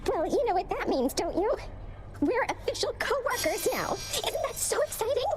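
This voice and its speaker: A woman's voice: very high-pitched, very animated, moderate pace. A woman voicing a young gorgon co-worker in a video game, nervously eager, perky and gushing. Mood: excited, gushing. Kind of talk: video game character dialogue. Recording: music behind the voice.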